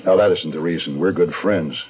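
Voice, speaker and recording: A man's voice: sits low, brisk, slightly rough. An actor playing a wary man on the run, telling his story tersely in a 1970s American radio suspense drama. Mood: guarded, insistent. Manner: terse, guarded. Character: terse, wary male fugitive narrating his own story. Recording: dull 1970s radio recording.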